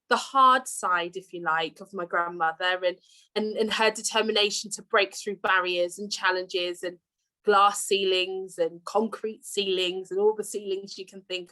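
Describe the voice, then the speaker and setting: An English woman in her thirties speaks as a guest at a conference, in a bright, clear tone. She talks earnestly, with frequent fillers and repeated words. The sound comes through a video call. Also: mid-range voice, rough recording. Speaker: guest speaker, deputy head teacher. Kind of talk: prepared conference talk.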